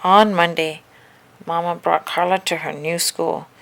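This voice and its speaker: A woman narrating a children's picture book aloud in an expressive, scripted storytelling style. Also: on the low side, a little slow, creaky.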